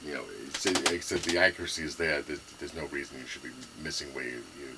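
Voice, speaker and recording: A man's voice: a bass voice, quick pace, very noisy. A middle-aged man with a Brooklyn accent, rambling casually with lots of fillers and restarts as he shows off his rifle. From New York. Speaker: gun owner reviewing his rifle. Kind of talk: casual rambling show-and-tell.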